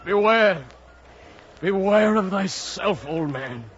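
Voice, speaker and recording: Slow, solemn ship's mate. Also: male voice, sits high, lively intonation. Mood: despairing. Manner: solemn, theatrical pleading. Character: earnest, pious ship's mate, foreboding and pleading. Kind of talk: old-time radio drama acting. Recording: muffled, noisy old radio drama recording.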